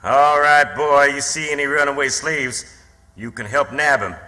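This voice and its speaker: An actor playing a gruff, bossy slave hunter in radio drama, barking demands. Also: male voice, on the high side, moderate pace, pushed and bright, in a large hall, poor audio. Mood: demanding.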